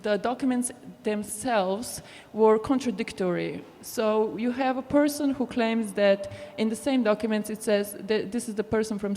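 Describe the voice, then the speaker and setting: A woman's voice: mid-range voice, a little mellow. Woman presenting in a very echoey hall. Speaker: conference panel speaker. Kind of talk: explanatory conference presentation. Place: indoor conference venue. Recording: echoey hall with long reverb.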